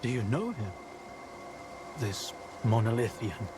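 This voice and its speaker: An actor playing a weary, protective male doctor in a video game, talking in a grave and measured way. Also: mid-range voice, sing-song. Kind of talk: video game cutscene acting. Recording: noisy outdoor ambience, insect-like buzzing.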